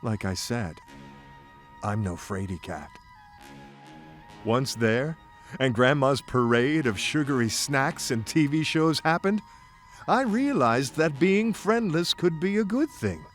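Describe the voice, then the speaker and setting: A man's voice: medium pitch, expressive, slow pace. A man narrating a scripted first-person story in a theatrical, folksy, playful manner. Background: music bed.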